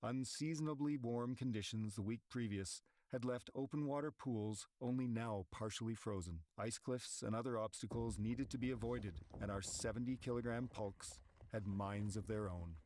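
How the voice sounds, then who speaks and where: A man reading measured, steady scripted narration for an expedition documentary. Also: mid-range voice, no room sound. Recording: voice-over with background music.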